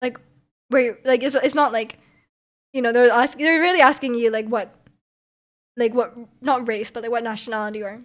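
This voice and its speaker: Chatty streamer woman, slightly high voice. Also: steady tone, normal speed, long pauses. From Canada. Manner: chatty, frequent "like" and "um" fillers; laughs often while talking. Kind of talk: casual chat reading viewer messages.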